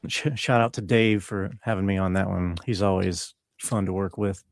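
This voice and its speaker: A man speaking as an interview guest, explaining his work in a casual, laid-back, slightly halting way. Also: sits low, fairly even intonation, gravelly, dry recording, studio quality. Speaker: interview guest, film composer.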